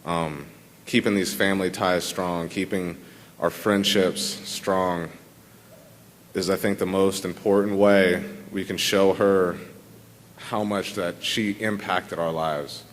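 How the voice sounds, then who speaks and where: A man giving an informal tribute at a memorial service, halting and repeating words as he speaks. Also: low-pitched, steady tone, slight background noise. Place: large room full of people at a memorial service. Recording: reverberant hall.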